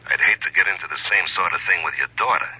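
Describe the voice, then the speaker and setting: An actor playing a menacing exiled blackmailer, rough and coldly mocking, in a 1970s radio drama. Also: male voice, deep voice, fast, pushed and bright, heavily acted. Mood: cold threat. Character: menacing exiled male racketeer, cold blackmailer. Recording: muffled radio broadcast, telephone-like sound.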